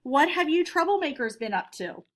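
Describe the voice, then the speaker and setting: A hearty old American grandfather from a children's storybook, read by a woman. He speaks emphatically and loudly in a high register, with a cheerful, teasing temper. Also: big pitch swings, moderate pace.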